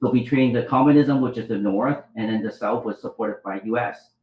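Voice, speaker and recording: A man's voice: medium pitch, fairly quick, echoey room, muffled recording, a little hiss or hum. A middle-aged man from Vietnam, a doctor and author telling his life story as an interview guest, with many restarts, acting out the dialogue. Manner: rambling, restarts, acts out quoted dialogue.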